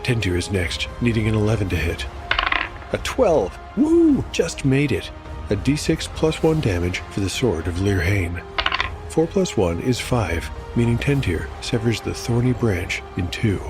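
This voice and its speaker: Male solo game master, low pitch, narrates rolls and reflects aloud over clear music. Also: big pitch swings, measured pace. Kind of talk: solo tabletop actual-play narration.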